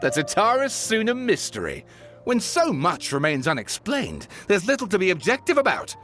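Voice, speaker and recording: An eager young amateur historian in a game who dramatizes everything. His voice climbs high and swings widely between notes, with soft game music underneath. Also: wildly swooping pitch, normal speed, exaggerated voice. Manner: theatrical and eager, fond of dramatic flourishes.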